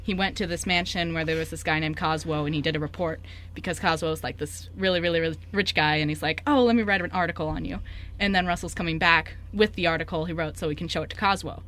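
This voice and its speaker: A woman's voice: deep voice, steady tone, quick pace, a bit bright. A woman co-hosting a radio show in casual chat, giggly and informal, rambling as she explains. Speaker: radio show co-host and writer. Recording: background room noise.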